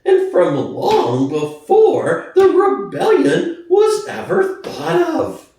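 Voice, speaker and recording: A man voicing a sly, excitable propagandist pig in a storybook reading, with theatrical, insistent oratory. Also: extremely high pitch, takes it slowly, creaky, voice pushed up, heavily acted. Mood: slow, firm. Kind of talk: dramatic audiobook reading. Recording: echoey room, distant from mic.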